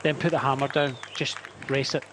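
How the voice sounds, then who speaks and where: A man co-commentating a cycle race, relaxed and rambling, giving rider's-eye tactics. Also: mid-range voice, a little fast, noisy background. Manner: relaxed, self-deprecating, rambling. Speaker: cycling co-commentator. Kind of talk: live race commentary chat. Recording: commentary over loud race ambience.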